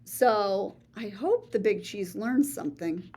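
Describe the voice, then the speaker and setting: Slow, theatrical woman reading aloud. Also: expressive, a little mellow, breathy edge. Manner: theatrical, playful, big pitch swings; boastful first-person storybook voice. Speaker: read-aloud storyteller. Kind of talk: children's picture book read-aloud.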